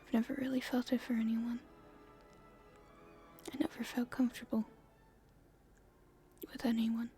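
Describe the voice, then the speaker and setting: A woman playing a playful young princess in love, speaking softly and breathily in an intimate ASMR romance roleplay, with gentle, halting delivery. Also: fairly high pitch, level tone, soft background music, close to an everyday voice. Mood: tender, hopeful. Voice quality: breathy, whispery, soft.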